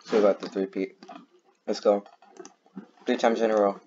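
Excitable low-voiced guy, lo-fi mic. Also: moderate pace, slight background noise. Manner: excitable, laughs often, rambling with many fillers. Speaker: gaming YouTuber. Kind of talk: casual gameplay commentary.

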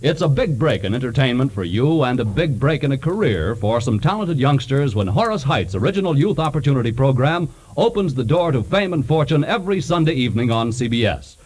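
A man's voice: medium pitch, sing-song, brisk, a little mellow, slightly rough, poor audio. A man with an American accent announcing in polished, declamatory 1940s radio promotional style.

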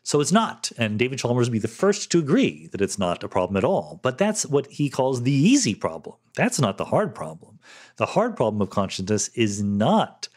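A man's voice: medium pitch, huge pitch swings, normal speed. A middle-aged man hosting a solo podcast, reading listener questions and answering them in a thoughtful, conversational way with fillers and restarts.

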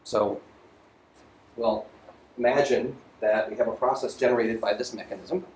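A man giving an informal university physics lecture, halting as he thinks aloud. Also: mid-range voice, fairly even intonation, darkish tone. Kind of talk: lecture voiceover on slides, informal explaining. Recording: roomy echo, faint background noise.

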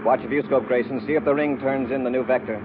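Brisk, commanding space patrol commander played by a man. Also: medium pitch, fairly even intonation, close to an everyday voice. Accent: American. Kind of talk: 1950s radio drama acting. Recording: tinny, noisy 1950s radio recording.

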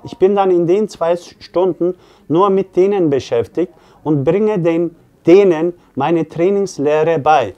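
A man in his forties, a fitness coach, explains earnestly to camera with hesitant, pause-filled phrasing. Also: fairly high pitch, dark tone, lo-fi sound. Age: 40s. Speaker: fitness coach and gym owner. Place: small indoor office.

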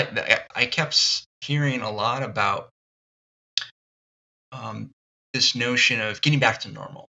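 A man hosting a solo podcast, thinking out loud in a thoughtful, halting way with many ums and restarts. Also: mid-range voice, vocal fry, highs cut off. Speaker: podcast host. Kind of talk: reflective solo podcast monologue.

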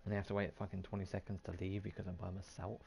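A man's voice: sits low, level tone, fast, gravelly, a little mellow. A man giving casual, offhand gameplay commentary, muttering and mildly swearing to himself. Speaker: gaming YouTuber.

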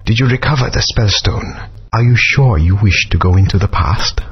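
An actor playing an ancient, solemn male spirit who speaks in a measured way. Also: fairly low pitch, breathy edge. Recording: dull lo-fi game audio.

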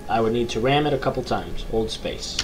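A young man with a low voice commentating a horror game from his bedroom, joking with mock bravado and reading the game's text aloud. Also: expressive, a little fast. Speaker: gaming YouTuber. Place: small bedroom, indoors. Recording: noisy home recording.

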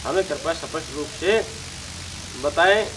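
Halting man over engine rumble. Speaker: interview guest, contest organiser. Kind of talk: explanatory interview answer. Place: seated outdoors in front of banners. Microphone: handheld news microphone held to him. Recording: noisy outdoor sound, idling vehicle rumble.

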